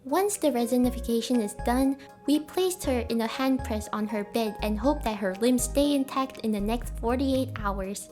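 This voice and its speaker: Higher-voiced woman, playful craft narration over music. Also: normal speed, few pauses. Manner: tongue-in-cheek, slangy, theatrical. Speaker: craft video creator. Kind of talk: playful voice-over narration, mock doctor bit. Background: background music.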